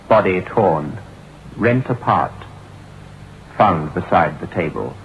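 A grave, formal lawyer recounts a grim tale with portentous solemnity. His voice is low. It is an old radio horror drama, slightly dull with faint hiss. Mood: dread. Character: grave male lawyer reading out a grim written account. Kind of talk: old-time radio acting, dramatic narration. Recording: dull, hissy vintage radio recording.

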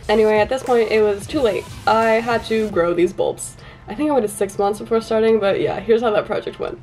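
A young woman talking casually as a vlogger, chatty, animated and jokey about herself. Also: medium pitch, brisk, faint music behind. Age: young adult. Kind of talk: casual vlog narration, self-deprecating.

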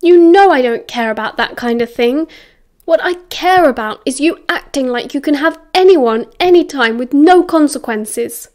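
A woman's voice: sits high, animated, moderate pace, darkish tone, voice pushed up. A woman voicing an indignant teenage schoolboy in a story, bluntly scolding his best friend with British slang. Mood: scornful. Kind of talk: dramatised story reading.

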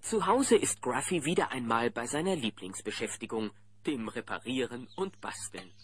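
A man's voice: medium pitch, animated, moderate pace, natural voice. A man narrating a children's audio drama in a dramatic storytelling manner. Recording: noisy old cassette transfer.

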